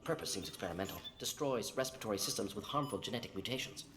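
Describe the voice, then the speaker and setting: A man voicing a brisk, clever alien scientist doctor in a video game, speaking in clipped, matter-of-fact fragments. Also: fairly low pitch, animated, natural voice. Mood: clinical, matter-of-fact. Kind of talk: video game dialogue acting.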